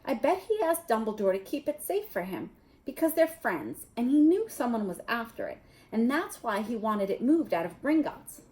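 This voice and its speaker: A woman's voice: fairly high pitch, very animated, normal speed, a little mellow. A woman reading a storybook aloud as a bookish, bossy young schoolgirl witch, breathless, emphatic and impatient. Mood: eager.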